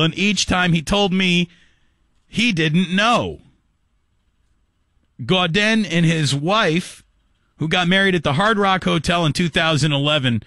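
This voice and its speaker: Bright, forceful male sports radio host. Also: a little high, no room sound, studio quality. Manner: mocking, incredulous, jokey. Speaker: radio talk show host. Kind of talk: sports talk radio commentary.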